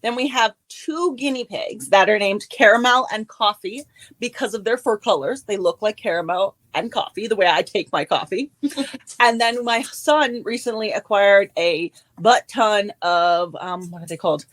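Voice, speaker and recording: A woman's voice: mid-range voice, moderate pace, a bit bright. A middle-aged woman born in Germany, chatting as a guest author and laughing often. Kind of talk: casual chat.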